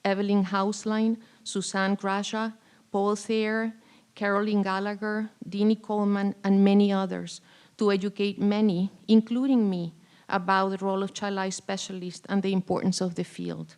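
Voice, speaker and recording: A woman's voice: medium pitch, level tone, moderate pace, darkish tone, smooth. A woman presenting an award with a prepared, formal tribute speech. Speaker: award presenter, faculty colleague.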